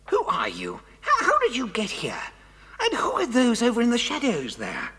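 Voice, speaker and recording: An actor playing an agitated, earnest kidnapped scientist who speaks haltingly in a children's audio drama. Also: medium pitch, wildly swooping pitch, bright, forceful tone, heavily acted. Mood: startled, anxious. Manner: halting, stammering. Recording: long reverberant hall sound.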